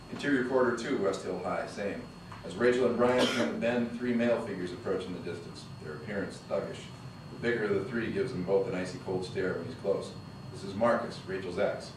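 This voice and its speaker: A man reading the stage directions aloud at a screenplay table read, brisk and matter-of-fact. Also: low voice. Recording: echoey room, distant mic, background noise.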